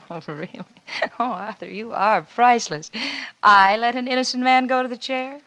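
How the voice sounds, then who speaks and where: An actress playing a cunning, coolly blackmailing wife in a 1940s radio suspense drama, with a coy, teasing, insinuating manner. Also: female voice, fairly high pitch, normal speed, a bit bright. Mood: mocking, sweet. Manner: coy, teasing, insinuating drawl with theatrical swoops.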